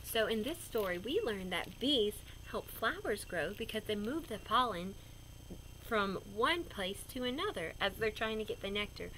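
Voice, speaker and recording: A woman's voice: mid-range voice, animated, normal speed, pushed and bright, slight background noise, low-quality recording. A woman reading a picture book aloud to children, expressively, with simple explanatory asides. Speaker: read-aloud storyteller for children.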